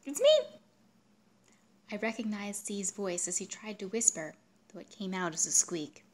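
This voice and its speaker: A woman reading literary fiction aloud in a dramatic, expressive way, at times hushed. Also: mid-range voice, normal speed, slight background noise. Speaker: translator reading their own literary translation.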